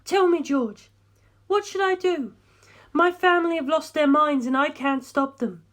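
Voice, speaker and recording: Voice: high-pitched, measured pace, fairly bright tone, pitched above the performer's own voice. A narrator voicing an anxious, tearful, well-bred young woman in audiobook dialogue. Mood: anxious, helpless.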